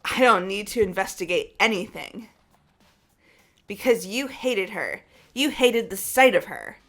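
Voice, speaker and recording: A woman playing a grief-stricken, hot-tempered teenage girl in a fan-voiced game, emphatic and halting. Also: medium pitch, lively intonation, a bit bright. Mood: bitter anger.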